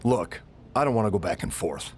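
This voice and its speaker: A man's voice: lowish voice, lively intonation, fairly quick, a little mellow, a little hiss or hum, natural voice. A man playing a wary, dryly diplomatic wrestling show boss in a video game. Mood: dry, placating. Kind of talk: scripted video game cutscene acting.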